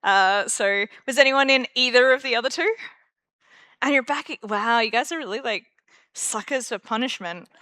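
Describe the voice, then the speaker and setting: Bright-voiced Australian woman giving a talk. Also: a little high, normal speed, no echo. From Perth, Western Australia. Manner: chatty, self-deprecating, many fillers. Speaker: conference speaker. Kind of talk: conference talk. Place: conference room.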